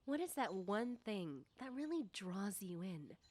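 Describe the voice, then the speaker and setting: A woman playing a nosy, teasing, self-assured popular high school girl in a soft ASMR roleplay, coy and deliberate with whispery dips. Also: big pitch swings. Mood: teasing, probing. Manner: coy, probing, deliberate, often dropping into whispers. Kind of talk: ASMR roleplay, soft personal-attention talk.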